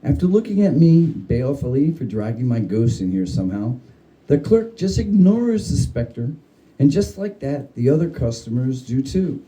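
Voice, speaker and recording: A man giving a dramatic reading of a humorous spooky story, with theatrical, deliberate phrasing. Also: medium pitch, very animated, warm tone, rough recording. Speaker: story reader.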